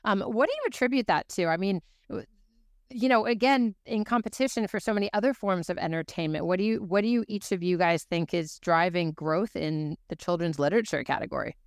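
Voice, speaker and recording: A woman interviewer with a fairly low, smooth voice in a dry, close recording. She speaks conversationally, working her way through exploratory questions as she thinks aloud. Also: fairly quick, no room sound. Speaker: interview host.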